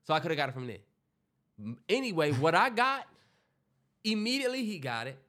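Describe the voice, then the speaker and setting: A young man co-hosting a podcast, congested, telling stories in an animated, joking way and swearing freely. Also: fairly high pitch, brisk. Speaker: podcast co-host. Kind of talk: casual storytelling chat.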